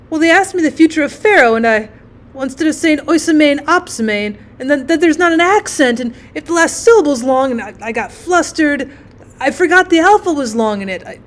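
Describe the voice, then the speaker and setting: Woman as a wretched stammering schoolboy, high-voiced. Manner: stammering, halting. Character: wretched, flustered thirteen-year-old schoolboy.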